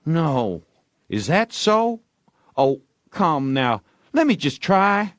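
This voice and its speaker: A man voicing an excitable young village boy with folksy dialect, by turns cocky and pleading, in an audiobook. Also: fairly high pitch, slow, dry recording, clean studio sound, pitched above the performer's own voice. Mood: eager pleading. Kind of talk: audiobook character voice.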